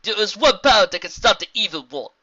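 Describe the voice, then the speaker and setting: A woman voicing a wise, calm zebra sorceress who speaks in deliberate, formal rhymed phrases. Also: mid-range voice, lo-fi sound, voice pushed up. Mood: solemn.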